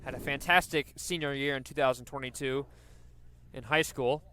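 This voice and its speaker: A man's voice: mid-range voice, steady tone, moderate pace, sharp tone, smooth. A man doing live radio baseball play-by-play, describing the action and working in stats as he goes. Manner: descriptive, stat-laden delivery.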